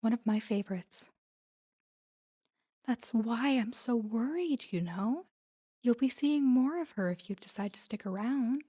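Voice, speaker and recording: A woman playing a guarded, tender mafia boss in an intimate audio roleplay, speaking softly and breathily to a lover in a measured, confiding way. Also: fairly high pitch, lively intonation. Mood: soft, reassuring. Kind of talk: intimate scripted audio roleplay addressed to the listener.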